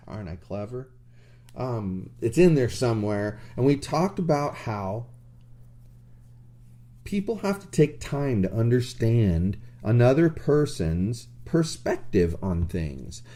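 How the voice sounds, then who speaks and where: A man co-hosting a podcast, telling a story in a blunt, animated way with crude jokes. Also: medium pitch, slow pace. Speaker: podcast co-host. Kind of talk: casual chat.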